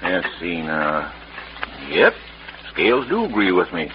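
An actor playing a folksy, skeptical Old West sheriff with an American Western accent and a laconic drawl in a radio drama. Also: male voice, low voice, sing-song, a little slow. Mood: deliberate, skeptical. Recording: muffled old radio recording, faint hiss.

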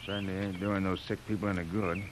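An actor playing a gruff, practical expedition boss with plain-spoken, folksy phrasing, in 1930s radio adventure style. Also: male voice, deep voice, level tone, quick pace, close to an everyday voice. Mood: grave, worried. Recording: noisy old radio recording.

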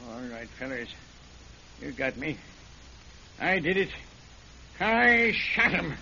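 A man's voice: high voice, animated, moderate pace, fairly bright tone. An actor playing a simple-talking rural man who pretends to be mad but is really a killer, with a folksy drawl, in an old radio drama. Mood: broken. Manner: folksy drawl, old-fashioned backwoods talk. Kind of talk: 1940s radio acting. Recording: dull hissy old radio recording.

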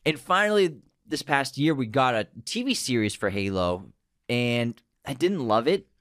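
A man's voice: normal speed, no echo, clean studio sound. Enthusiastic man co-hosting a podcast. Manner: enthusiastic, jumps up in pitch when excited. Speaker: podcast co-host. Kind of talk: casual chat.

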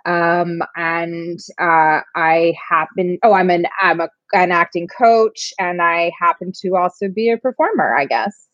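A woman's voice: lowish voice, measured pace, dry recording, lo-fi sound. A woman from New York, an acting coach, chatting casually as a guest with lots of fillers. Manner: relaxed, many fillers and restarts. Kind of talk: casual interview chat.

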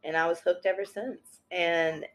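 A woman, chatting in a loose, slangy way and dropping "yo" and "like" everywhere. Her voice sits on the lower side for a woman. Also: measured pace. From Tennessee. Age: 30s. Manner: slangy, animated, peppered with "like" and "yo". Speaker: thrift and sewing guest. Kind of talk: casual podcast conversation.

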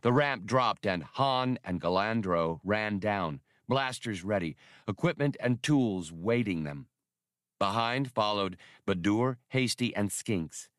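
A man's voice: fairly low pitch, expressive, slow pace, fairly bright tone, no echo, clean studio sound. A man reading scripted narration from a science fiction adventure novel as an audiobook narrator.

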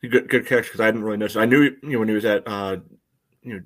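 Lowish voiced guy cohosting a sports pod, measured casual analysis, says you know a lot. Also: steady tone. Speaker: sports podcast co-host.

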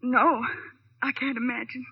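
High-voiced, earnest young radio ingénue. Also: female voice, level tone, measured pace, breathy edge, exaggerated voice. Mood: worried, puzzled. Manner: artless, earnest, peppered with "gee". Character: shaken, earnest young female nightclub pianist. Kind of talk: 1940s radio drama acting. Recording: dull vintage radio recording.

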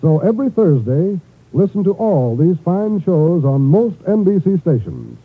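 Lively American 1950s radio announcer man. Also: high voice, measured pace. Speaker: network announcer. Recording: muffled vintage radio, music behind.

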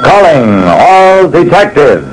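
Dramatic radio detective, animated delivery. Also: male voice, on the high side. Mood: dramatic narration. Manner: dramatic, deliberate. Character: hard-boiled male private detective telling his cases. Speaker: narrator. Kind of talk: old-time radio detective narration. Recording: noisy old radio recording.

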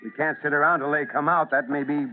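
Man playing a fire chief, authoritative, brisk, fairly high male voice, tinny vintage radio with faint noise. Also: fairly even intonation, natural voice. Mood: earnest. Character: male fire chief, gruff, earnest, fatherly commander. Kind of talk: old-time American radio acting. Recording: narrow, noisy vintage radio recording.

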